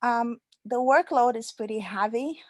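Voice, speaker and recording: High school teacher lady, a bit high voice, dry sound, telling a story with lots of ums. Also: a little slow, darkish tone, dry recording. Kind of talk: online panel talk.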